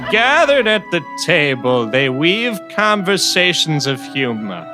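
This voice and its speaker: Animated male promo narrator over music. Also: on the high side, measured pace. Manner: theatrical, whimsical trailer delivery. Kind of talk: scripted comedic promo narration.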